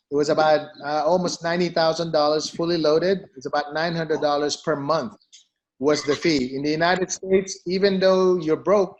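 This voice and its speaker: A man's voice: a little high, highs cut off, slight background noise. A middle-aged man from the Philippines, a company CEO, telling his personal story in an interview, emphatic and repeating phrases for stress. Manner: emphatic, repeats for stress, peppers talk with "you know" and "okay?".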